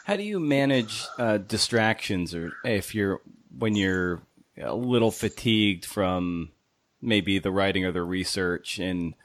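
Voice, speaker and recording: A man's voice: low voice, a little slow, dry recording, clean studio sound. A man hosting a casual podcast interview, asking questions in a tentative, roundabout way.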